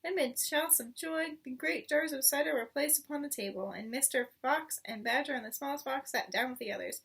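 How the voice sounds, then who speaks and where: A woman reading a children's story aloud in an expressive, dramatic way. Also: high voice, a little fast, gravelly, distant mic. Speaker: storybook reader.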